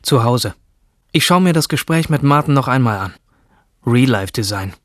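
A man's voice: mid-range voice, lively intonation, normal speed, no room sound, clean studio sound, close to an everyday voice. An actor playing an obsessed, detached young man of a future elite, delivering a brooding, introspective monologue in a German radio drama. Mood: detached, wry.

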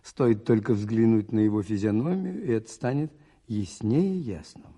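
An actor playing a thoughtful, observant priest detective in a radio play, musing aloud with a husky, mellow voice. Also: male voice, fairly low pitch, normal speed. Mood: puzzled, musing. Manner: musing, questioning himself aloud. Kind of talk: radio play narration and monologue.

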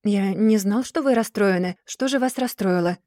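A woman voicing a distracted, gloomy rich gentleman who mumbles and then rants in a storybook reading. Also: mid-range voice, moderate pace, dry recording, lightly acted. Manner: absent-minded, mumbling, then bursting into furious ranting.

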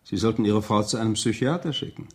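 A man's voice: on the low side, fast, close to an everyday voice. A man acting in a radio drama as a polite, probing police inspector, formal, firm and matter-of-fact.

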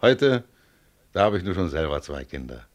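A man's voice: sits low, very animated, normal speed. An actor playing a modest, contented tram driver of about forty in a German radio play, plain-spoken, chatty and proud of his work. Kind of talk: German radio play acting, conversational monologue.

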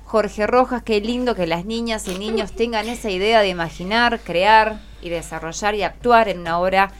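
A woman's voice: mid-range voice, normal speed. A woman with a Rioplatense Argentine accent, hosting a casual radio interview in an encouraging way and often checking in with short tag questions. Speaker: radio host interviewing schoolchildren. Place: radio studio. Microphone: close studio microphone.

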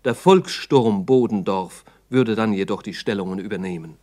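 A man's voice: fairly low pitch, moderate pace, darkish tone. A man narrating a 1960s radio play as a sober, formal, factual report.

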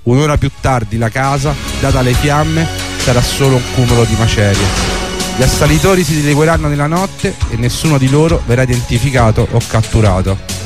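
A man's voice: mid-range voice, a little fast, music in the background. A man reading a scripted historical narration for a radio drama.

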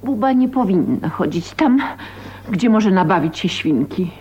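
A woman's voice: mid-range voice, animated, unhurried, a little mellow, lightly acted. An actress playing a domineering middle-aged mother in a radio drama, stern, dismissive and imperious. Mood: stern, mocking.

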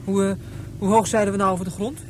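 An actor voicing an eager, excitable young boy in a radio play, gushing and stammering over his words. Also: high voice, fast talker, slight background noise, natural voice. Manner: breathless, gushing, stammering repeats.

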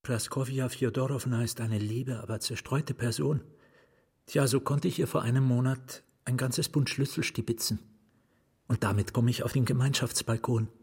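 A breathy, secretive asylum patient. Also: male voice, medium pitch, fairly even intonation, normal speed. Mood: sly, wry. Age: middle-aged. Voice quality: breathy, often hushed. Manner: conspiratorial, probing, wry. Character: secretive, wry male asylum patient, a bitter novelist. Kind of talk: German radio drama acting.

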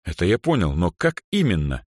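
A man voicing a calm, naive young male heir in a fantasy audiobook, polite and mildly puzzled in manner. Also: low-pitched, fairly quick, breathy edge, no echo, studio quality, exaggerated voice.

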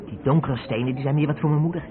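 Man playing naive young driver's mate, eager, dreamy, boyish, mid-pitched, radio play acting, tinny sound, busy crowd behind. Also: racing, lightly acted. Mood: dreamy, wistful. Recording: tinny old radio recording, busy café noise.

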